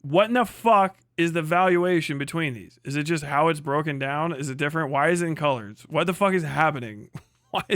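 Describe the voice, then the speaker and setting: Male stream host, casual reaction commentary: high-pitched, dry close sound, sardonic and foul-mouthed. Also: brisk, a bit bright, no room sound. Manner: sweary, exasperated, mocking. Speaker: livestream host.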